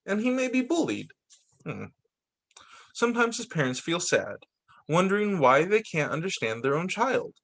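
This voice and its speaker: Male teacher for children's read-aloud: higher-than-average voice, very animated melody, expressive storytelling with casual asides. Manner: expressive reading with short spoken asides. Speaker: teacher reading picture books to pupils.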